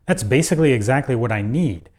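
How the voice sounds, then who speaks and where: A man's voice: mid-range voice, expressive, fast, a little mellow. A man hosting a video, narrating a script about audio gear in a conversational, opinionated way with dry asides.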